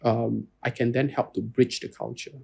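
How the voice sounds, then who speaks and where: A man's voice: mid-range voice, flat delivery, moderate pace, vocal fry, warm tone, breathy edge. A man with a Singaporean English accent, answering interview questions earnestly, restarting phrases and often saying "you know". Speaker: guest lawyer.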